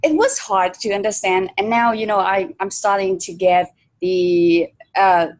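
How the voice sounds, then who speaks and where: Slow-talking woman interviewer. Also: medium pitch. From Vietnam. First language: Vietnamese. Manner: hesitant, many fillers. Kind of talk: conversational interview.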